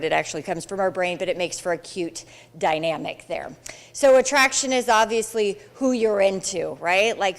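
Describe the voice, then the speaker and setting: A woman with a big voice giving a chatty, animated classroom presentation into a handheld mic. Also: mid-range voice. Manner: animated, chatty, acts out quoted reactions. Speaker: psychology instructor and Pride Center coordinator. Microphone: handheld microphone.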